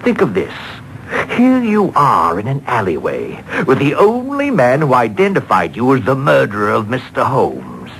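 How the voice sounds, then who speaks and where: Old-time radio villain: armed, gloating, menacing, taunting, with swooping intonation; slightly noisy vintage broadcast tape. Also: male voice, mid-range voice, huge pitch swings, fairly bright tone. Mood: smug, gloating. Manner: deliberate, taunting. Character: smug, menacing armed male killer, coolly gloating. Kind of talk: 1940s radio drama acting. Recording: home-taped old radio broadcast, faint noise.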